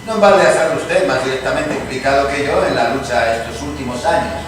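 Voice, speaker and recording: A man playing a deferential gentleman scholar in a dramatized reading, formal and courteous. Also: mid-range voice, fairly quick, close to an everyday voice. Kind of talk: dramatized stage reading, radio theatre. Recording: very echoey room, distant, noisy.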